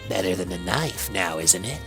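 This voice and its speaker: A woman voicing a smug male skeleton villain in a fan audio drama, teasing with drawn-out, playful menace. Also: a bass voice, expressive, over music. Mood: smug taunting.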